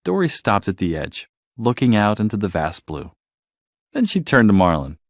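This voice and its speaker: Male storybook narrator for children: dramatic, highly animated delivery at a middle pitch, recorded dry. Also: moderate pace, no room sound.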